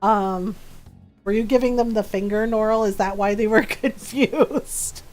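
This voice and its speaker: A woman's voice: medium pitch, fairly even intonation, a little slow, a little mellow, faint music behind, poor audio. A woman in her forties chatting casually with her stream viewers and laughing often as she tells a story. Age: 40s.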